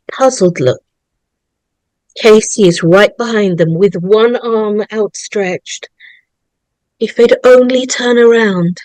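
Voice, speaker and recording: A woman narrating a horror screenplay in a dramatic, deliberate way, building suspense in short phrases. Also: mid-range voice, darkish tone, no echo, low-quality recording.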